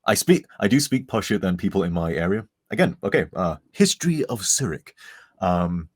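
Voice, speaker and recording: A man's voice: low-pitched, very animated, moderate pace, husky, dry recording, studio quality. A man from England with a mostly neutral English accent and a slight northern tint, chatting casually and rambling on a live charity stream. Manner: chatty, rambling, self-deprecating.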